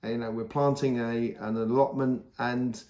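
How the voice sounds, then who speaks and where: A hoarse man giving a casual, rambling tarot reading, full of fillers and restarts. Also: mid-range voice, level tone, measured pace, dark tone, reverberant. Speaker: tarot reader.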